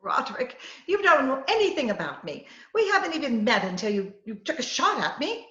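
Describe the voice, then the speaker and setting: A woman's voice: high-pitched, big pitch swings, a little fast, fairly bright tone. A woman playing a scheming, coaxing woman who poses as a poisoner gangster, with breezy melodramatic patter in a 1940s-style radio comedy. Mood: coaxing, nervous. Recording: roomy, slightly dull video-call recording.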